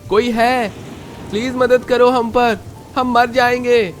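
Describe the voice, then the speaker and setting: A man voicing a weak-willed young husband in a cartoon, pleading in a high strained voice. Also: level tone, slow pace, faint music behind. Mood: desperate. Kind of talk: Hindi cartoon voice acting.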